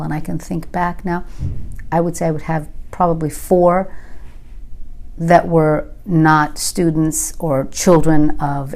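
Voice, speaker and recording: A woman in her fifties from California, a native Sicilian and Italian speaker, giving measured, thoughtful oral history answers with frequent pauses. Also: low-pitched, vocal fry, darkish tone. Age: 50s. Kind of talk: reflective interview answers.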